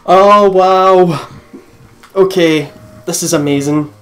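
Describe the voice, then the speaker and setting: A young man chatting casually as a let's play host, excitable and sweary. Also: high-pitched, slow, soft background music. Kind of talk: casual gaming chat.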